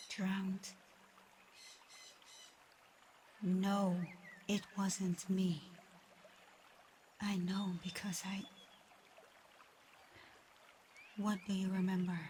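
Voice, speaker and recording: A proud yet gentle female dragon, acted by a woman in an ASMR roleplay speaks slowly and very evenly. The delivery is soft and breathy, often half-whispered and weary, over some background noise. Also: medium pitch, natural voice. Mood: probing, wistful. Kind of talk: ASMR roleplay, scripted one-sided dialogue.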